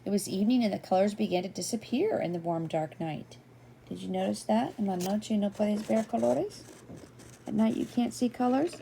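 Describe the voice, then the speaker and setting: Older woman reading a picture book, creaky voice. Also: medium pitch, normal speed, slight background noise, low-quality recording. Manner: gentle, playful, addresses young listeners with questions and asides. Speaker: read-aloud storyteller. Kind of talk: expressive children's picture-book reading with asides.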